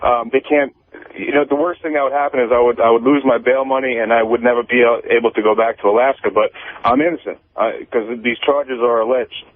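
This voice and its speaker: A man's voice: mid-range voice, steady tone, fast talker, dry recording. A man calling in to a radio talk show over the phone, rambling casually and personally, often stammering and repeating his words. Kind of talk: casual confessional chat. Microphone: telephone. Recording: tinny, band-limited phone line.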